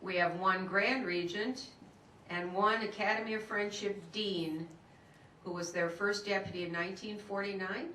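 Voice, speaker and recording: Woman running a meeting, bright, chatty; roomy hall, distant mic. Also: medium pitch, steady tone, normal speed, slight background noise. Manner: chatty, calls on people by name. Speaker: meeting leader. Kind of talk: casual meeting talk.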